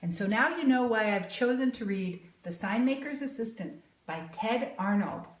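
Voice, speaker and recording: A woman's voice: fairly high pitch, normal speed, echoey room, slight background noise. A middle-aged woman reading a picture book aloud to children, exclaiming and asking them questions as she goes. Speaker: storybook reader and educator.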